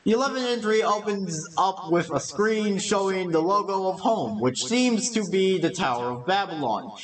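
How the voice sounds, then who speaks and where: A man's voice: sits high, animated, moderate pace, recorded from a distance, rough recording. A man reading scripted narration for a video essay.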